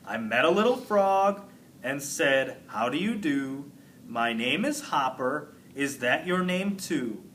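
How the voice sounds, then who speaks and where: A man's voice: fairly high pitch, lively intonation, slow, fairly bright tone, a little hiss or hum. A man reading a storybook as a cheerful young schoolgirl reciting a rhyme in a sing-song way. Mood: cheerful, proud.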